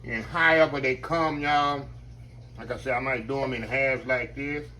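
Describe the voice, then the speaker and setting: A man, chatting to viewers as he cooks in his home kitchen, his voice slightly hoarse. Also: medium pitch, steady tone, normal speed, sharp tone, slight background noise. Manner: chatty, keeps addressing viewers as y'all. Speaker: home cook. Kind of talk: casual cooking demonstration.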